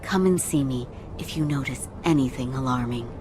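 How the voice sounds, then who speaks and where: A woman voicing a composed healer who speaks calmly and politely. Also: rumbling low, music in the background. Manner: measured, polite, calm.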